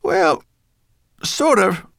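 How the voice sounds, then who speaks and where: A man voicing a brash, excitable, bossy female furry creature in a storybook, loud and exclaiming. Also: high voice, drawn-out words, vocal fry, no room sound, studio quality, voice pushed up, full character voice. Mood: brash, casual.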